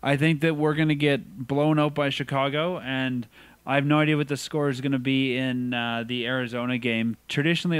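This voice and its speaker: A man's voice: mid-range voice, smooth, no echo. A man hosting a casual sports podcast, chatting in an upbeat way about hockey. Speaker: podcast host.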